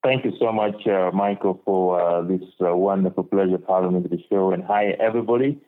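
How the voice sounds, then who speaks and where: A man's voice: deep voice, steady tone, brisk. A young man from Uganda, a guest on a podcast interview, speaking earnestly and often repeating words as he thinks. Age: young adult. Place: home in Uganda, remote call. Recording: tinny phone-like call audio.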